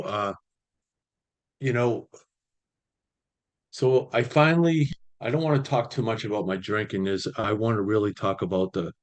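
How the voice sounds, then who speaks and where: A man, sharing his recovery story at a meeting over a video call, halting with many fillers. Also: sits low. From Boston area, US. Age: 60s. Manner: halting, many ums and you-knows, restarts. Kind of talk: personal recovery share.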